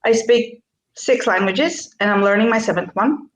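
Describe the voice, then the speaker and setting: A woman's voice: a little high, normal speed, reverberant, a little hiss or hum, poor audio. A woman from Greece, a workshop speaker answering questions on a video call, with frequent fillers and restarts. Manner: hesitant, many fillers and restarts. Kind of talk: online interview.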